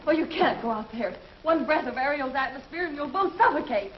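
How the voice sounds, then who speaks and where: Anxious young heroine, high, lots of pitch swing, muffled old tv. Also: female voice, lively intonation, brisk. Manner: anxious, warning. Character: young woman space navigator, anxious and warning. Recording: muffled old TV soundtrack with faint hiss.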